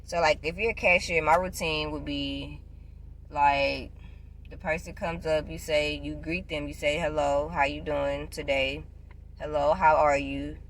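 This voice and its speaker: A woman in her twenties chatting casually to camera, giving job advice, loud and playful and repeating herself often. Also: low voice, steady tone, measured pace, a little hiss or hum. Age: 20s. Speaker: vlogger.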